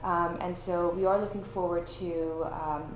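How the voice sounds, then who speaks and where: A woman's voice: lowish voice, fairly even intonation, measured pace, soft background music. A woman from Iran, a journalist, talking as a guest in her home, thoughtful, with many "you know" and "um" fillers. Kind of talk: casual interview conversation. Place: home flat, indoors. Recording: echoey room with faint noise.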